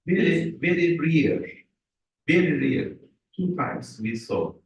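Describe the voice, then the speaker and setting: A man's voice: fairly high pitch, very animated, slow pace, gravelly. A man hosting a talk, chatty and encouraging. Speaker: host. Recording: echoey room from far off.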